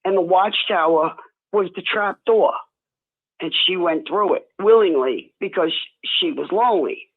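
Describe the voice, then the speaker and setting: A woman in her seventies with a Long Island New York accent, slowly telling her life story as a call-in guest. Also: on the low side. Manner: slow, unhurried storytelling. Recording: phone-like call audio.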